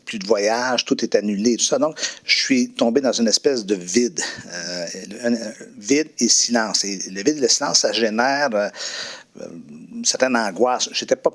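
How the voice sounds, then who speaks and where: Middle-aged guy preaching type, thinks out loud, lots of euhs and restarts, average pitch. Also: moderate pace. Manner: reflective, hesitant, many fillers and restarts. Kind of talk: personal testimony interview.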